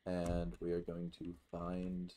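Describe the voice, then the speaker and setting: A man giving casual, halting gameplay commentary as host, with some offhand swearing. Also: low-pitched, completely flat delivery, dark tone, a little hiss or hum. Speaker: gaming video host.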